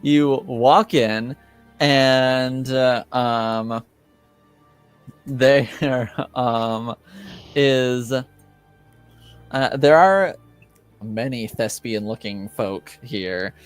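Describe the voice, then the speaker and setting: Slow, halting male game master. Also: mid-range voice, clean tone, dry recording, soft background music. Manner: hesitant, halting narration. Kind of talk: narrating a tabletop roleplaying game.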